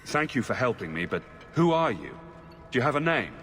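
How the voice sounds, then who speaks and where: An actor playing a determined fantasy hero in a video game, direct and brisk with questions. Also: male voice, medium pitch, sing-song, fairly bright tone, soft background music. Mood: questioning. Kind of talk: video game dramatic acting.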